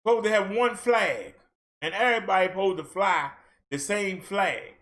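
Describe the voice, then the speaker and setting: A man vlogging a heated rant in casual colloquial speech, firing off rhetorical questions and at times shouting. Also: sits high, moderate pace. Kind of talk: ranting social commentary.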